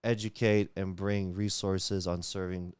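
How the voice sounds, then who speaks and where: Low, smooth-voiced man talking earnestly. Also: flat delivery, a little slow, a little mellow, no echo. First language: Tagalog. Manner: earnest, many fillers and restarts, laughs while talking. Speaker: community leader, guest. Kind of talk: casual interview talk.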